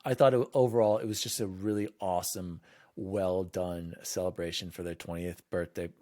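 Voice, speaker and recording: A man's voice: low-pitched, steady tone, normal speed, a little mellow, dry recording, studio quality. A man hosting a casual gaming podcast, chatting in an enthusiastic, emphatic way. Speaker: podcast host.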